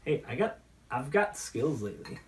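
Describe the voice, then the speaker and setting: A man's voice: mid-range voice, very animated, moderate pace. A chatty, enthusiastic man hosting a casual talk show, bantering as he mixes drinks. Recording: roomy, distant mic with noise and clicks.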